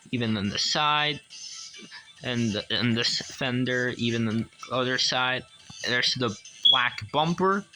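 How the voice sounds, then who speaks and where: Bright-voiced man giving a casual toy review. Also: medium pitch, poor audio. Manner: halting, repetitive, enthusiastic. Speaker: toy collector reviewing a diecast car.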